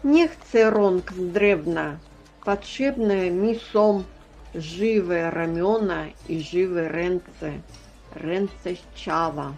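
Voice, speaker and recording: Kobieta grająca łagodnego, uroczystego Chrystusa z figurki, mówi powoli. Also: female voice, on the low side, very slow, a little mellow. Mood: earnest, pleading. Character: broken Christ figurine, gentle, solemn, pleading for living hands. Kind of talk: dramatic religious stage-play acting.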